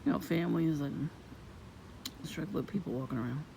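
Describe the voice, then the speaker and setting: A woman with a low voice, vlogging in a soft-spoken, rambling and suspicious way outdoors near her home. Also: brisk, vocal fry. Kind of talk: casual rambling monologue. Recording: noisy outdoor ambience.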